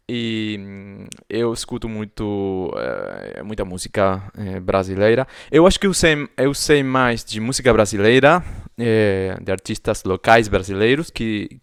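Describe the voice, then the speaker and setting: An Argentine man with an Argentine accent, a native Spanish speaker co-hosting a podcast, speaking halting Portuguese full of fillers as he searches for words. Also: fairly low pitch, clean tone, no room sound, clean studio sound. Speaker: podcast co-host. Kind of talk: casual chat.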